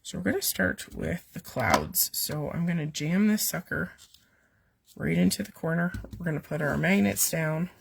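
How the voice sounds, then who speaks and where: A woman's voice: low-pitched, sing-song, normal speed, creaky, husky. A woman with a cold hosting a live card-making stream from her home basement, giving a chatty, rambling step-by-step demonstration. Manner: chatty, rambling, with fillers and asides to her cat. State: has a cold, voice weak and coming and going. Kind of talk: casual step-by-step craft demonstration.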